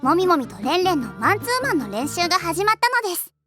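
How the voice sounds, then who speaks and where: A woman's voice: very high-pitched, big pitch swings, fast, over loud music. A woman voicing a playful, easygoing, childlike teenage girl in an anime, with a breezy teasing manner. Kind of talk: anime voice acting.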